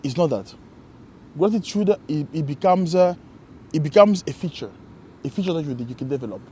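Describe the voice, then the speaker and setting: A man co-hosting a casual conversation, reflective and halting, often restarting his sentences. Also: fairly high pitch, expressive, a little mellow, slight background noise. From Congo. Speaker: co-host.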